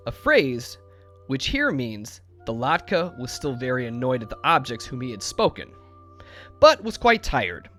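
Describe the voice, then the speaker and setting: Very animated male storybook narrator. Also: mid-range voice. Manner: expressive.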